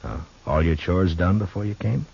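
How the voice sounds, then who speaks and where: An actor playing a firm, patient frontier marshal with an American accent in a 1950s radio Western, authoritative, dry and measured. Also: male voice, low-pitched, creaky, darkish tone. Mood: patient, easing. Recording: muffled, hissy 1950s radio recording.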